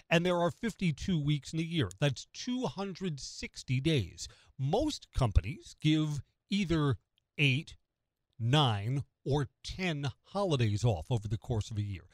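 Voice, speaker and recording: A man's voice: on the high side, a little mellow, no room sound. An American man hosting morning talk radio, delivering a conversational opinion monologue and putting rhetorical questions straight to the listener. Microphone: close studio radio microphone.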